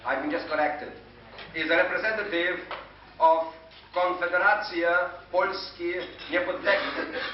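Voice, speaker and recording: A man whose native language is Ukrainian speaks formally as a master of ceremonies, introducing guests in a courteous, deliberate, ceremonious manner. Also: high-pitched, steady tone. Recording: muffled noisy 1980s hall recording, distant, echoey.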